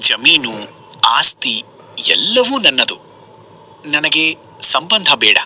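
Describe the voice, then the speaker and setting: Man playing sharp, arrogant young heir. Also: on the high side, sing-song, takes it slowly, husky, full character voice. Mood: angry, haughty. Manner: haughty, dismissive. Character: arrogant, greedy young adult son.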